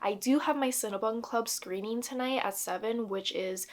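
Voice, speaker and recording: A woman's voice: fairly high pitch, normal speed. Chatty young woman vlogging at her desk. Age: 20s. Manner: chatty, upbeat, earnest. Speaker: book vlogger. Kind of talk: casual vlog talk to camera. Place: small home office with bookshelves.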